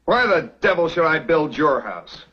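Animated, blunt young frontier man. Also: fairly high pitch, normal speed, husky, full character voice. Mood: gruff. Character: proud, stubborn young frontier man, skeptical and defiant. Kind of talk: 1950s television western acting. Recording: muffled old TV soundtrack with music.

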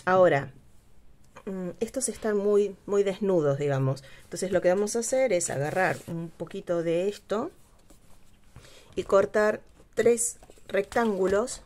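A middle-aged woman hosts a casual craft tutorial at her home table, speaking unhurriedly with many pauses and fillers. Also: mid-range voice, a little mellow. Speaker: craft channel host. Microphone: overhead camera, speaker off screen.

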